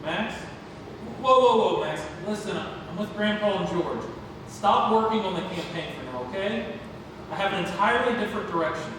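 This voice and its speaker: A man acts a preoccupied, earnest dad in a play read-through, his voice on the high side for a man. It sounds very reverberant and far from the microphone. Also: normal speed, close to an everyday voice. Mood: brisk. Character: busy, distracted father, earnest and apologetic. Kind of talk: amateur stage play table read. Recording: distant echoey hall, noisy.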